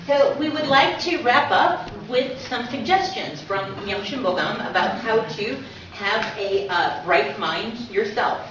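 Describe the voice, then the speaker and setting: A woman's voice: on the high side, animated, unhurried, hardly any pauses. Echoey, distant woman giving an informal talk. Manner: conversational, addresses the audience with small asides. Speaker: guest speaker presenting a book. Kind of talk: informal public lecture with read-out aphorisms. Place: echoing talk room at a community centre. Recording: echoey hall from far off, muffled, noisy.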